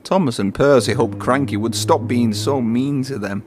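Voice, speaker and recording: A man's voice: mid-range voice, moderate pace, background noise. A man narrating a children's storybook, reading aloud.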